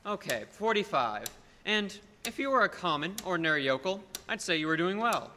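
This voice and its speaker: Casting: condescending, domineering businessman acted by a young man, highish forceful voice, radio-play delivery. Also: big pitch swings, full character voice. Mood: condescending, persuasive. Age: young adult. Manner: patronizing, persuasive, deliberate emphasis. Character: scheming rich businessman, condescending, smooth-talking and domineering. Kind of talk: filmed radio play acting. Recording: echoey hall, background noise.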